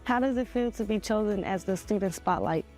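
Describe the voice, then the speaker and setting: A woman's voice: medium pitch, brisk, darkish tone, faint music behind, rough recording. A young woman in her late teens reading a prepared introduction and asking interview questions. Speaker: student interviewer.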